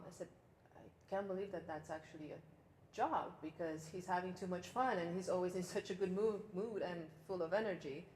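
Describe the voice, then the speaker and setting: A woman's voice: fairly low pitch, darkish tone, roomy sound, slight background noise. A woman in her forties from Romania, a fitness trainer chatting easily in an interview. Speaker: personal trainer, gym co-owner. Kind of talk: casual interview.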